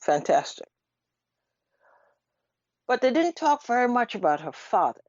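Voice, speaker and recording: A woman giving unscripted radio commentary in an emphatic, deliberate manner, with rhetorical questions and pauses. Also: a little high, sing-song, darkish tone, no room sound. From Trinidad. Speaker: radio talk show host. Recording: dull lo-fi radio audio.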